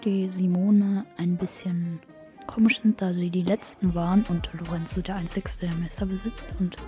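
A female speaker giving a statement in a halting, piecemeal way. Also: fairly low pitch, flat delivery, mellow. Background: music.

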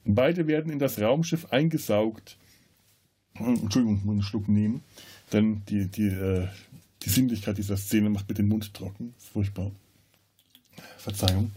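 A man's voice: lowish voice, moderate pace, long pauses, warm tone, slightly rough. A man talks about a film as a podcast host in a casual way, often searching for words. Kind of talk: casual film discussion with scene retelling.

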